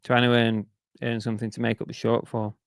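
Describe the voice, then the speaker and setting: A man's voice: medium pitch, monotone, fairly quick, vocal fry, dry recording, studio quality. A man chatting casually on a live stream, reading out viewer comments and grumbling about sales while losing his voice. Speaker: reseller streamer reading chat.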